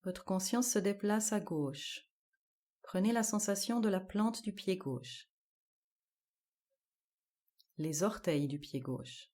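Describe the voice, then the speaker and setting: A woman guiding a yoga nidra relaxation, giving calm, measured instructions in short, spaced-out phrases. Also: mid-range voice. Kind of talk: guided relaxation meditation.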